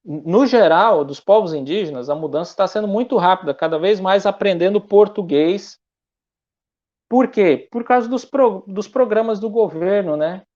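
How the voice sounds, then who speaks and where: A man's voice: high-pitched, a little mellow, muffled recording. A male missionary speaking in a conversational church interview, hesitant and full of restarts. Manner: halting, many restarts and fillers.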